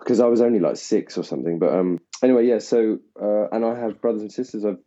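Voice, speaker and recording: A man from Essex, an actor, talking at length and laughing at himself in a relaxed interview. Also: lowish voice, fast. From England (Essex). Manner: rambling, self-deprecating, laughs often. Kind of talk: casual interview conversation. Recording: dull lo-fi sound.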